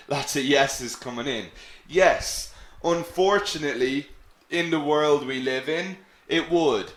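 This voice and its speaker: Irish man, english teacher, unhurried explanatory delivery, roomy echoey sound. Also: on the high side, a bit bright. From Ireland. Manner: explanatory, checks in with frequent "okay?"; slow, deliberate delivery. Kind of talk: online lesson on CV writing.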